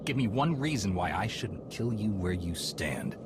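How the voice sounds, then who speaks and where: Very deep, menacing male warrior. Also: sing-song, normal speed. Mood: accusing. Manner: blunt, threatening. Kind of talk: video game voice acting. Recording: loud noise and ambience behind.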